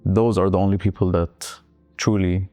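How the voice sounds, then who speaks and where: A young man from Algeria with a low, mellow, smooth voice, speaking English as a second language. He tells his story in a relaxed, reflective way and keeps softening his points with small hedges. The delivery sits close to the mic in a dry space, with faint music underneath. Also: normal speed, dry recording. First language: not English. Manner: relaxed, often hedges with "let's say". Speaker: interviewee.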